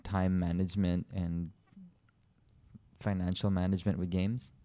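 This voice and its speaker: A man's voice: low voice, little pitch movement, unhurried, mellow. A young man from the Philippines co-hosting a casual couple's podcast, easygoing and joking, with loose run-on thoughts. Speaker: podcast co-host.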